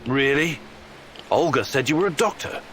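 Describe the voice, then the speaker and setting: An actor playing a wary, skeptical male hero in a video game, questioning and steady. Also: medium pitch, normal speed, a little hiss or hum, natural voice. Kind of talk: video game character acting.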